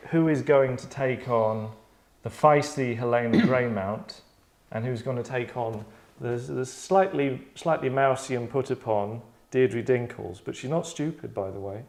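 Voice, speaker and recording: A man's voice: mid-range voice, a little slow, few pauses, darkish tone. Man rambling casually, tongue-in-cheek. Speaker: host and writer running a table read.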